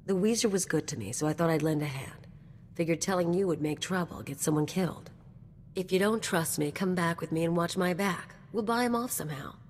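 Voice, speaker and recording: A woman's voice: on the low side, fairly quick, slight background noise, close to an everyday voice. An actress playing a cynical, tough, self-serving mercenary woman in a video game, with a blunt, wry, streetwise delivery. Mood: guarded, businesslike.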